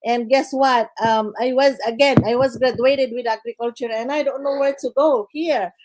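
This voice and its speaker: Older filipino woman guest, slightly high voice, halting delivery with many fillers, chatty. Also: steady tone, background noise. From Philippines. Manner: halting, many "uh" fillers, says "guys" and "okay" often. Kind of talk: casual interview storytelling.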